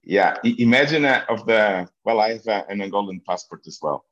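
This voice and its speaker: A middle-aged man with a very low voice and a Portuguese accent answers interview questions. He speaks haltingly and often repeats words as he finds his phrasing. The sound is echoey and slightly dull. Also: lively intonation, fairly bright tone. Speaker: interview guest, football business executive. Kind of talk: conversational interview answers, personal recollection.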